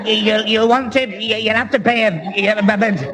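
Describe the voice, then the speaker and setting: A man's voice: high voice, fairly even intonation, normal speed, natural voice. A man playing a gormless, cheeky young man who stammers and muddles words, in a 1960s radio comedy. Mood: muddled. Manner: stammering, repeats words, blundering. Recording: noisy old radio comedy recording.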